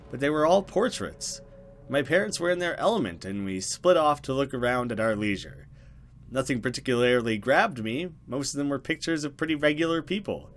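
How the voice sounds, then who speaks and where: A man's voice: mid-range voice, slight background noise, close to an everyday voice. A man voicing an earnest young woman from a horror visual novel in a conversational storytelling way. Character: grieving young woman, friendly hostess, unsettled by things. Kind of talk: visual novel dialogue read aloud.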